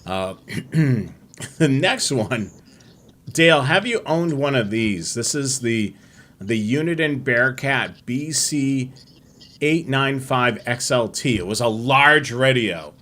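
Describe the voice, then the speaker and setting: A middle-aged man hosting a live-streamed hobby show, chatting in a relaxed, rambling way with many fillers. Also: medium pitch, slow pace. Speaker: host.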